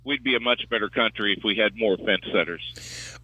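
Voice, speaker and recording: A man's voice: fairly low pitch, normal speed, sharp tone, husky, no room sound. A man calling a radio show, giving his opinions casually over the phone. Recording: dull band-limited phone line.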